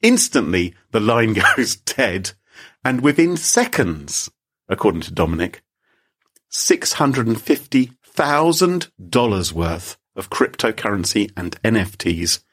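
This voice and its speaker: A man's voice: lowish voice, very animated, unhurried, a bit bright. A British man co-hosting a podcast, telling a story in a theatrical, teasing way. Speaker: podcast co-host.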